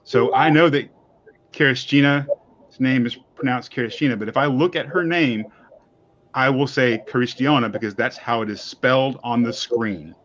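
A man's voice: medium pitch, normal speed, fairly bright tone. Guy hosting from home on a webcam, rambly and joking at himself, kinda muffled call audio. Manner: chatty, self-deprecating, rambling. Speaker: host. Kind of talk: casual chat. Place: home room. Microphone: webcam on video call.

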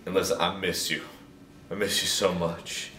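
A man playing a drunk, lovesick, melodramatic young guy, rambling haltingly and repeating himself as if on a comic voicemail. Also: low voice, level tone, sharp tone. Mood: maudlin, rambling. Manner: slurred, halting, repetitive, overwrought. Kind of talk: comedic rambling voicemail monologue. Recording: roomy, distant, noisy home recording.

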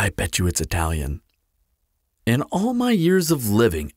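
A man's voice: medium pitch, no room sound, clean studio sound. A man playing a teasing, flirtatious immortal vampire in an audio roleplay, with a playful, sardonic, theatrical drawl. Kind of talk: scripted audio roleplay acting.

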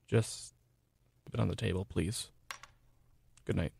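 A man's voice: deep voice, steady tone, unhurried, vocal fry, mellow, a little hiss or hum, heavily acted. A young man acting in a radio play as an earnest, affectionate but wounded boyfriend, speaking plainly. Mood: pleading, sad. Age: young adult. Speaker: student actor.

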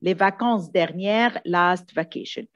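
A woman's voice: on the low side, slow, muffled recording. A woman teaching a language lesson in a didactic way, repeating key phrases and often checking understanding. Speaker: French teacher. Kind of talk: exam coaching lesson, switching between two languages.

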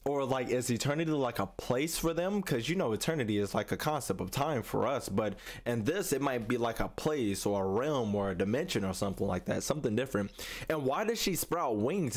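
A young man giving casual, slangy reaction commentary as a video host, often repeating his phrases. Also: medium pitch. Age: young adult. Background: game trailer music.

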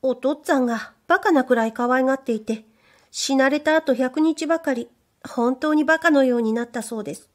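A shy young maidservant, whispering and bashful, her voice lifted high and light, as a woman narrator puts her on. She speaks softly and hesitantly, in a gentle storybook reading. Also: fairly quick, natural voice. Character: shy young maidservant girl, soft-spoken.

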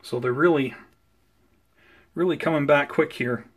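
A man giving a casual, unscripted plant update in an unhurried, explanatory, conversational way, recorded indoors on a handheld camera. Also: on the high side, husky. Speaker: host of a plant-growing video channel. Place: indoors at home beside potted seedlings.

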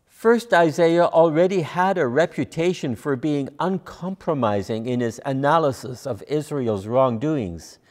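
Older male preacher, unhurried and deliberate sermon delivery, long church reverb. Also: fairly high pitch, a little mellow. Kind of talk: sermon, scripted and measured. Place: large church sanctuary, at a lectern. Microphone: lapel mic.

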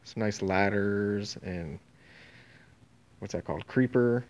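A man's voice: fairly low pitch, slow pace, a little mellow. A man casually walking through a warehouse with a phone camera, listing auction items in an offhand way that trails off mid-phrase. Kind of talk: casual walkthrough narration. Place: indoor warehouse and tent. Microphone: handheld phone camera.

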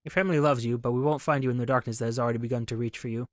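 A man reading aloud the game lines of a somber wife warning her husband, in a grave, measured manner. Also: medium pitch, steady tone, lightly acted. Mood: grave foreboding.

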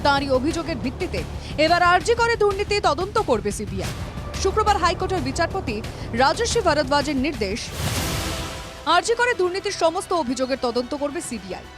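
A woman's voice: high-pitched, big pitch swings, brisk, over music. A woman reading a scripted news report in a dramatic, emphatic way. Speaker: news narrator.

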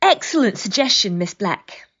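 A woman's voice: mid-range voice, very animated, normal speed, a bit bright, slightly rough. A woman voicing a polite, wide-eyed, easily delighted companion in an audio drama, earnest and formal, on a slightly dull recording.

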